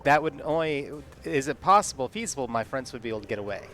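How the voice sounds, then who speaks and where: A man's voice: medium pitch, animated, fast talker, a bit bright, slight background noise. A man playing in a tabletop roleplaying game, talking through his moves in casual chat. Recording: music behind the voice. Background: background music.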